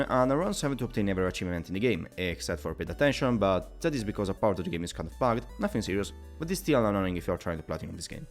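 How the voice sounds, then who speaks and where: A man narrating a scripted video game review, giving his opinions in an explanatory way. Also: medium pitch. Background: background music.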